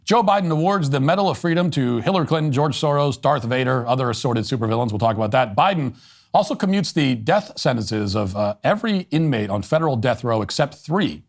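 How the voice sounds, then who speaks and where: A man's voice: mid-range voice, lively intonation, fairly quick. A man hosting a political commentary show, delivering a scripted monologue in a sardonic, emphatic way. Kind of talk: read ad endorsement.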